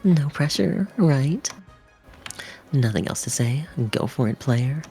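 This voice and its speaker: A woman's voice: a bass voice, lively intonation, slow, mellow. A woman reading video game narration and tutorial text aloud as a stream co-host. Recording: music behind the voice.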